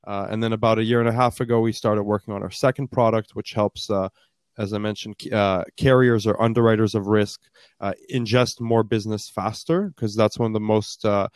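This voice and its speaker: A young man in his twenties with a deep voice, answering interview questions in a fluent, storytelling way with frequent fillers. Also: steady tone, a little fast, a little mellow, clear, resonant tone, no room sound, studio quality. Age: 20s. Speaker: startup founder guest. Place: based in Canada.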